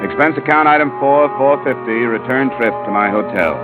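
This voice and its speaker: An actor playing a wry, hard-boiled insurance investigator, clipped and dry, in 1950s radio detective style. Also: male voice, mid-range voice, fairly quick, lightly acted. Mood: brisk, dry. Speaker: lead actor and narrator of a radio drama. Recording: narrow-band vintage radio recording, music behind.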